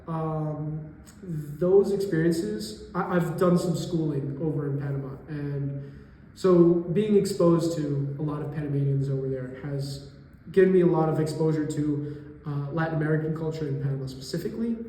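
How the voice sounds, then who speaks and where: A young man in his twenties, a college student from New York, answering interview questions thoughtfully and pausing to find his words. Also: on the high side, dark tone. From New York area, US. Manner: thoughtful, pauses to search for words. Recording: echoey room with long reverb.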